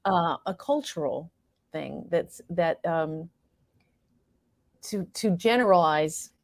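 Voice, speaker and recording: A woman with a fairly low voice gives reflective answers in an interview. She speaks earnestly and haltingly, feeling her way toward the right word. The sound is very dry, with hardly any room on it. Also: no echo. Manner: hesitant, searching for words, earnest. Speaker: playwright and performer being interviewed.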